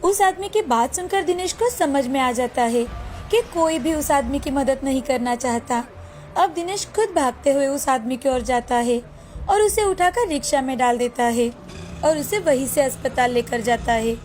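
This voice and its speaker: A woman's voice: high-pitched, lively intonation, normal speed, darkish tone, soft background music. A woman narrating a scripted moral story as the storyteller.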